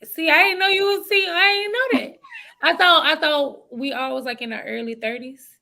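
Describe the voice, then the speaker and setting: A woman's voice: high-pitched, fairly even intonation, normal speed, vocal fry, bright, forceful tone. A woman in her thirties with a Southern US accent, a guest chatting casually and laughing easily. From Southern United States. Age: 30s. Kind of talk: casual chat.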